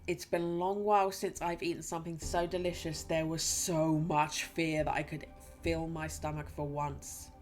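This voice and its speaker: Lady reading out a detached fear-craving musician, stuffy nose, lowish voice, faint music behind. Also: flat delivery, normal speed, darkish tone, close to an everyday voice. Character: fear-hungry young musician, cold and aloof.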